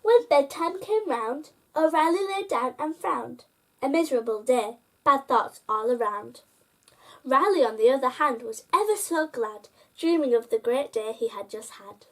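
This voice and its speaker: Female storyteller with a high-pitched voice for children's bedtime picture books, engaging young listeners directly. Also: lively intonation, unhurried, rough recording. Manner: addresses child listeners with prompting questions. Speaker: host reading children's picture books aloud.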